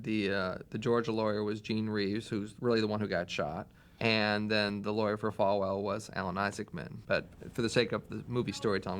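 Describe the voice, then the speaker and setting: A man, a screenwriter, briskly explaining choices made in adapting a true story. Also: on the low side. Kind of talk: retrospective interview.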